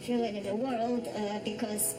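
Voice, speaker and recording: A woman in her forties from Russia with a Russian accent, speaking in a documentary interview in a measured way with pauses and restarts. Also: medium pitch, flat delivery, music in the background. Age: 40s.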